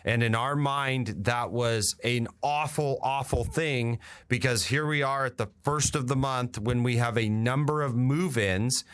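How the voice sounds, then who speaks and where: A man's voice: mid-range voice, unhurried, few pauses, fairly bright tone, clear, resonant tone. An American man hosting a radio show, explaining industry updates conversationally with emphatic repetition. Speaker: radio show host and real estate agent.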